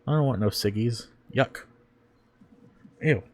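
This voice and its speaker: A man's voice: mid-range voice, slow, darkish tone. A man streamer reading a visual novel aloud, breaking off for jokey, mock-disgusted casual asides. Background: music.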